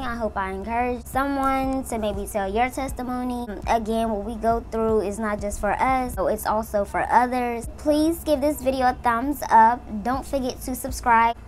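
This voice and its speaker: A woman's voice: fairly high pitch, normal speed, hardly any pauses, slight background noise. A woman in her twenties, a beauty vlogger, telling her personal story in a casual, earnest way and talking straight to her viewers. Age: 20s. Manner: chatty, earnest, addresses viewers as y'all. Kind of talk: casual testimony while doing makeup.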